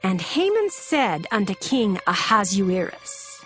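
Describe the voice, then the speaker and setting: A woman giving solemn, measured dramatic narration of scripture, with music behind her. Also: medium pitch, big pitch swings, a bit bright. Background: background music.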